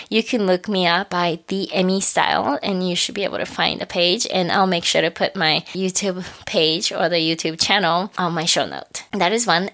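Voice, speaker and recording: A woman wraps up her podcast episode in a relaxed, chatty way, her voice somewhat low and husky from weeks of coughing. She sounds encouraging and laughs softly now and then. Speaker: podcast host. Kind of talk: casual solo podcast wrap-up.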